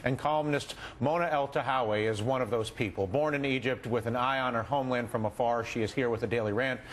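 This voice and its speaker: A man hosting a TV news show, introducing a guest and asking questions in a brisk, conversational way. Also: mid-range voice, steady tone, slight background noise. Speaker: news anchor. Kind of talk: TV news presenting.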